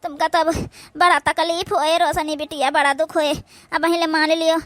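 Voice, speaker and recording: A woman's voice: very high voice, flat delivery, normal speed, dry recording. A woman voicing a kindly, protective village aunt in a cartoon, soothing and motherly, with an Awadhi accent. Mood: sad, consoling. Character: kindly, protective village aunt consoling her orphaned niece. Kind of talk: village cartoon comedy-drama acting.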